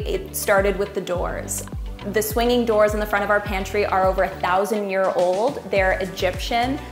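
A woman's voice: mid-range voice, roomy sound. A woman, giving a house tour as the homeowner in an interview style to camera. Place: indoors, in a home kitchen. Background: background music.

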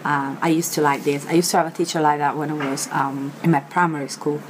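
A young Italian woman with an Italian accent, teaching a vocabulary lesson to camera in a chatty way, filled with pauses and fillers, recorded outdoors on a terrace. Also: low-pitched, little pitch movement, a little hiss or hum, rough recording. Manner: chatty, many fillers, repeats words slowly. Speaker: language teacher.

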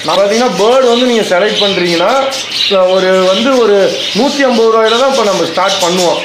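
A man who runs a bird farm, with a Tamil Nadu accent, talking viewers through his costs one by one in a casual how-to way. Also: high voice. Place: bird farm, amid birds. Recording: echoey, loud background noise. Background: birds chirping.

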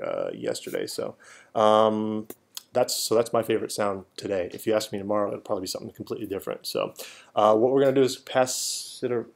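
Thirties male professor, relaxed classroom talk, animated, lots of restarts. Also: lowish voice, gravelly. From Florida. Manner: animated, gesturing, rambling restarts. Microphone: laptop webcam.

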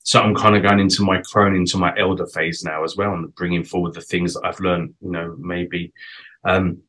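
A British man nearing fifty hosts a relaxed interview over a webcam from his home. His voice sits low and deep, and he talks in a chatty, reflective way about personal matters. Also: moderate pace. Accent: British English. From Britain. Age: 40s. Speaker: interview host. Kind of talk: casual video call interview. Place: home room, indoors. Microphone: webcam on a video call.

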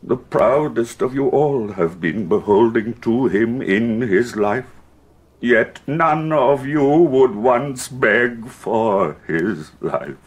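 An actor playing an anguished, wrathful English king in a Shakespearean audio drama, declaiming with heavy pauses and a husky voice. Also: medium pitch, lively intonation, lo-fi sound. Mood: remorseful, sorrowing. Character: grief-stricken, wrathful, ailing English king.

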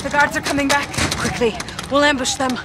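A woman's voice: sits high, steady tone, a little fast, heavy background noise, natural voice. An actress voicing an anxious, loyal young woman prisoner turned rebel fighter in a video game, urgent and breathless. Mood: hushed urgency. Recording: loud game music and gunfire behind.

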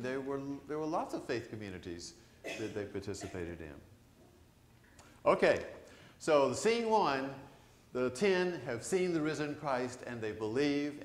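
An older man, a priest, preaching a conversational sermon to his congregation, animated and asking them questions. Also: mid-range voice, slight background noise. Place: large church sanctuary. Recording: echoey church sanctuary.

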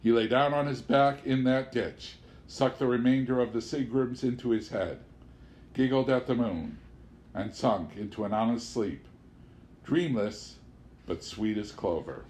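A man's voice: medium pitch, a little slow, a little mellow, slight background noise. A man reading a comic literary novel aloud as scripted audiobook narration. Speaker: audiobook reader.

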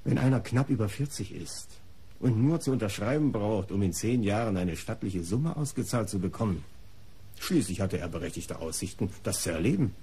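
Raspy, disdainful art patron. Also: male voice, fairly low pitch, lively intonation, moderate pace, mellow, exaggerated voice. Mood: defensive, indignant. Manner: articulate, formal, self-justifying. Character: defensive, disdainful male art patron under questioning. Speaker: actor in a radio crime play. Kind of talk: German radio crime drama acting.

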